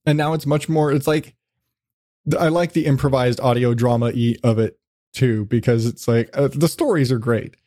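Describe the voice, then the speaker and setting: A man's voice: mid-range voice, moderate pace, mellow, no echo, clean studio sound. A man hosting a podcast in a casual, rambling, sweary chat style. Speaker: podcast host.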